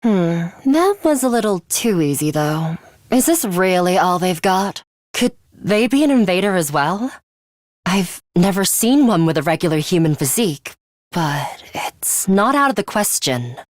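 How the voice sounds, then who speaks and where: A woman's voice: on the low side, sing-song, measured pace, dry recording, clean studio sound. A woman voicing an aloof, scornful young female fighter with a sadistic streak in a video game, curt and condescending in manner. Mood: cool, confident. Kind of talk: English video game voice acting.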